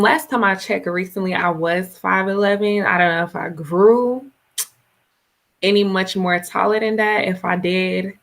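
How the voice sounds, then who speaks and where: A woman from Florida, a guest model on a live podcast over a video call, talking in an easygoing, relaxed way. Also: fairly low pitch, creaky. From Tallahassee, Florida. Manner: easygoing, laughs often. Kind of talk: casual interview chat.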